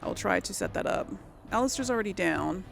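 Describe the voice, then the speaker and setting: A woman's voice: mid-range voice, expressive, fast talker, vocal fry, slight background noise. A woman giving casual video game commentary, thinking aloud and exclaiming as she plays. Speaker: video game let's play host.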